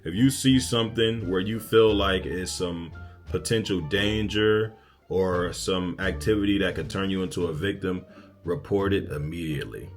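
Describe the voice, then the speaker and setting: A man with a fairly low voice reacts as a video host. He talks in a casual, slangy, emphatic way, with faint music in the background. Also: normal speed.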